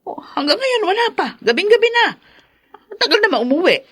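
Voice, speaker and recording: Shrill, fretful mother. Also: sing-song, a little fast, fairly bright tone, full character voice. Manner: fretful, melodramatic. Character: fretful, emotional middle-aged mother of grown sons. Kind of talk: Filipino radio drama acting.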